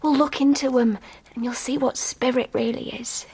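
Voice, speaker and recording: An actress playing an ailing, pious, stubborn young girl in a radio drama, breathy and dropping into whispers, intense and childlike. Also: high-pitched, fairly even intonation, moderate pace. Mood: fervent, dreamy.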